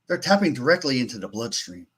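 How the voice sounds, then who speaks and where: A man's voice: mid-range voice, sing-song, fast, a bit bright, slight background noise. A man playing a sober starship doctor in a live radio-theatre reading, explaining findings in a clinical manner. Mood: clinical, grave. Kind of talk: podcast live script reading.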